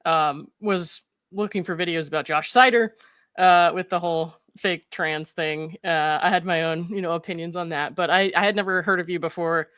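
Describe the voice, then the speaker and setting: A young woman from Florida, a guest on a video call, laid-back and wry, with a deeper voice. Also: fairly even intonation, moderate pace, a bit bright, clear, resonant tone, dry recording, clean studio sound. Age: young adult. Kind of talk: casual interview chat.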